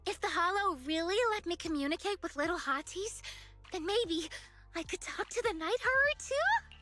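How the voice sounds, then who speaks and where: A woman's voice: extremely high pitch, animated, moderate pace, pushed and bright, exaggerated voice. A woman playing an earnest, eager young researcher with big dreams in a video game. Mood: hopeful, earnest. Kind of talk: English video game voice acting. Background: game music.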